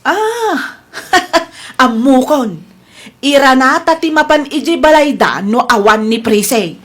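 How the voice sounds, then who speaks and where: A pushy, scheming sister-in-law. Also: female voice, high-pitched, animated, slow, a bit bright, heavily acted. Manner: insistent, coaxing. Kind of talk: radio drama acting.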